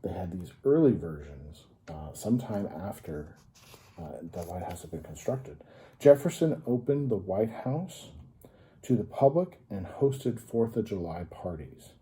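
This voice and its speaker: An American man reading a history story aloud to children, pausing to add simple informal explanations. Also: deep voice, mellow. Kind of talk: read-aloud storytelling with informal asides to young listeners.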